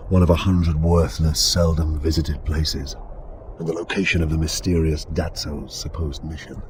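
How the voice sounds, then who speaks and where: A man playing a grim inquisitor who narrates his dark investigations in a grave, measured, brooding game voice-over. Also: very deep voice, level tone, background noise. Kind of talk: scripted first-person game narration. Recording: loud music behind.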